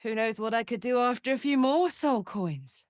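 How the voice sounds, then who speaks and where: A woman's voice: fairly high pitch, animated, moderate pace, dry recording, studio quality, natural voice. An actress playing a brash, big-hearted tiefling warrior woman in a video game, with a blunt, informal manner that turns from playful to tender. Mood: excited, bold. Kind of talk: video game character voice lines.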